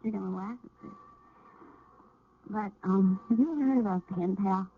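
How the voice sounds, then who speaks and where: An actress playing a wary, guarded eighteen-year-old runaway with a dry, hesitant way of talking in a radio drama. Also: female voice, medium pitch, normal speed, a little hiss or hum, lightly acted. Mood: confiding, downcast. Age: young adult. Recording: muffled old radio broadcast.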